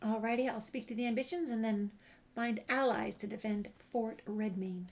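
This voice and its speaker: A woman's voice: fairly high pitch, steady tone, normal speed. A woman playing a video game and talking casually, reading dialogue choices aloud. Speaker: game player and streamer. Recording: clear background noise, clicks.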